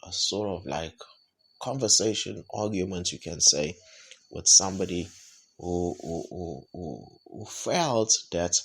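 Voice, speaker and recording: South African male podcast host, low and husky, halting reflective monologue. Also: a bit bright. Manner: halting, many repeated words and fillers. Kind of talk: reflective weekly review monologue.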